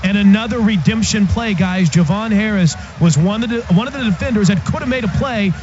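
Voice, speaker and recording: A man's voice: high-pitched, a little fast. A man working as a football radio sideline reporter, giving live reports to the booth. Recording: dull, muffled radio broadcast.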